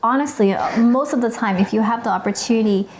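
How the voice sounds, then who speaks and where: A woman's voice: a little high, fast talker, slight background noise. A woman whose first language is Cantonese, co-hosting a casual podcast and talking in a thoughtful, hesitant way. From China. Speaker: podcast co-host.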